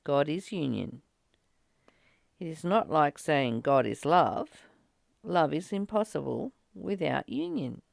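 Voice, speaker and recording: A woman in a reflective spiritual discussion, speaking earnestly and haltingly as she talks or reads aloud. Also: low-pitched, big pitch swings, gravelly, no room sound, studio quality. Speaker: study group reader and discussion partner.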